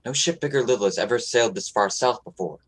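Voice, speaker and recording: A man acting in a radio play as an earnest, determined young sea captain, speaking a little haltingly. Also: medium pitch, lightly acted. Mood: earnest, worried.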